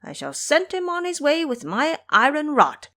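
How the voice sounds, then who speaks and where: A woman's voice: sits high, big pitch swings, moderate pace, a bit bright, voice pushed up. A woman voicing a cocky, hot-tempered monkey king in an audio drama, imperious, taunting and scolding. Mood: gleefully threatening.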